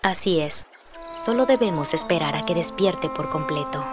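A woman's voice: low-pitched, lively intonation, normal speed, music in the background. A woman with a Latin American accent playing a cold, sinister servant of an underworld lord in an anime fan dub. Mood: cold anticipation. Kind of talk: anime fan dub villain acting in Spanish.

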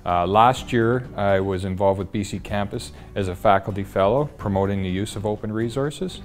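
A man's voice: on the low side, normal speed. A man in his fifties, a trades instructor, speaking steadily and explaining things in a studio interview on a lapel mic. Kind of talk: testimonial interview. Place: studio with dark backdrop. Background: faint guitar music.

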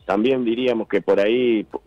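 A man's voice: fairly low pitch, fairly even intonation, fairly quick, darkish tone. A middle-aged Argentine man explaining things conversationally in a radio interview over the telephone. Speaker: gliding club representative, phone-in guest. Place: phone call to a radio studio. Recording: dull phone line into radio.

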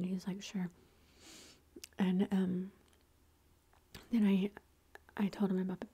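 A woman whispering through a long personal story, with many fillers and quoted lines of dialogue. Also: medium pitch. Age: adult with a son. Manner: whispering, soft and breathy. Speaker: vlogger.

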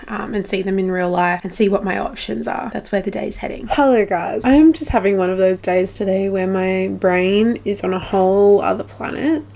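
A young woman in her twenties with an Australian accent, chatting casually to a handheld camera at home, relaxed and rambling with lots of fillers. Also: medium pitch, gravelly. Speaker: vlogger. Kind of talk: casual vlog chat to camera. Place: small home room with plants, indoors. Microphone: camera at arm's length.

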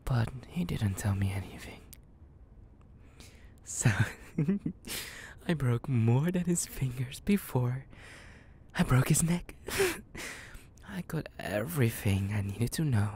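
A man's voice: medium pitch, very animated, slow, pauses often, darkish tone, a little hiss or hum. A man playing a psychotic, possessive young kidnapper in an intimate audio roleplay, cooing menacingly in half whispers with creepy giggles. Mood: gloating, unhinged.

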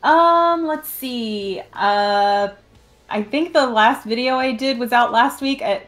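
A woman's voice: on the high side, slow, a bit bright. A young woman co-hosting a casual podcast, joking in a self-deprecating, mock-indignant way. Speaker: podcast co-host. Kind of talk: casual chat and banter. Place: room at home, indoors.

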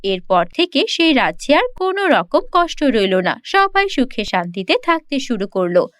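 Lady narrator reading a kids cartoon fairytale, really high voice, dry close sound. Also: sing-song, moderate pace, fairly bright tone, no echo. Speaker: storyteller narrator. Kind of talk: scripted fairy-tale narration for a children's cartoon.

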